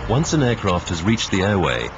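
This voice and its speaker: A man reading scripted instructional narration in a measured way. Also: low voice, very animated, dull audio. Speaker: narrator of a corporate training film. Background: background music.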